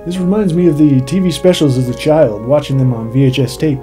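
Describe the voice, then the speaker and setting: A man's voice: a little high, a little fast. A man who directs films, giving behind-the-scenes commentary with dry, wry asides. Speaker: film director. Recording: faint music underneath.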